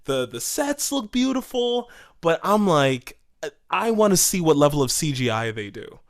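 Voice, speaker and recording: A man co-hosting a podcast, discussing things casually in an animated way and acting out imagined quotes. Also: fairly high pitch, moderate pace. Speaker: podcast co-host.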